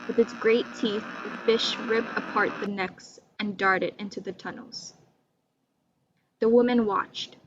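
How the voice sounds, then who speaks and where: A woman's voice: mid-range voice, steady tone, unhurried. A woman storyteller giving a scripted, dramatic narration of a folktale. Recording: dull lo-fi recording with loud mains hum.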